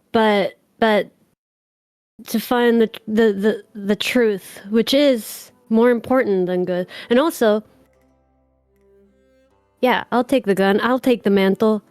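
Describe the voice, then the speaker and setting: An earnest young adventurer who pledges himself in a halting, sincere manner, as a woman plays him. The voice is smooth and slow-paced. It sounds dry and close to the mic. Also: on the high side, a little mellow, dry recording, faint music behind.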